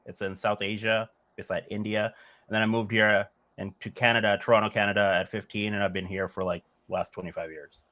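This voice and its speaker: A man's voice: lowish voice, flat delivery, fairly quick, bright, forceful tone. A man in his forties from Bangladesh, a musician answering interview questions in a thoughtful, meandering way with frequent "like" and "uh". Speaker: musician guest.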